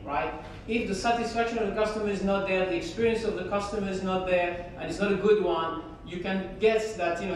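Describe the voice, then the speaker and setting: A woman from France with a French accent giving a conference keynote in a relaxed, conversational way, with frequent pauses and fillers. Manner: conversational, hesitant, many fillers. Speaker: keynote speaker. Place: large conference hall. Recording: very echoey hall from far off, faint rumble.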